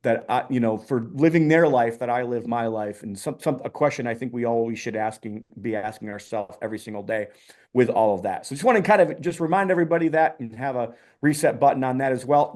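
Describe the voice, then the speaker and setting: A man's voice: mid-range voice, a little fast, darkish tone. A man hosting a casual online community call, upbeat, with many ums and restarts.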